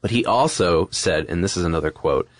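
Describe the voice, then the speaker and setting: A man with a low-pitched voice talks through history as a podcast co-host. His delivery is casual and informal, like explaining to a friend. The sound is close and dry. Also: brisk, no echo.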